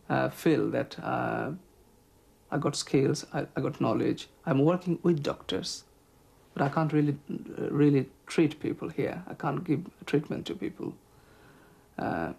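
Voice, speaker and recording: Middle-aged man, bangladeshi accent, slow careful answers, husky gravelly voice. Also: fairly high pitch, a little mellow. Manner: measured, hesitant with frequent "uh" and "um". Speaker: health promotion officer interviewee. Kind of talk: interview answers.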